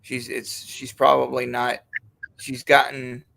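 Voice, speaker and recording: A man's voice: medium pitch, completely flat delivery, creaky, a bit bright, a little hiss or hum. A man hosting a live stream, chatting in casual crude banter, easygoing and swearing freely. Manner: easygoing, swears a lot, quick to laugh.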